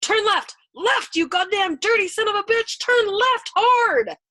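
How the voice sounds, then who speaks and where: A woman voicing a hysterical, terrified man who shouts orders aboard a warplane in an audiobook. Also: very high voice, expressive, unhurried, dry recording, clean studio sound, pitched above the performer's own voice, exaggerated voice. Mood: frantic. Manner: shrieking, yelping, pleading.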